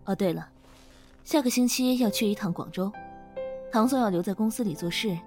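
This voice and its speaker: Female boss for office audio drama, played by a woman: assured and caring, medium pitch, lightly teasing. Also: brisk, a little mellow, faint music behind, lightly acted. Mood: calm, caring. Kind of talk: Chinese audio drama acting.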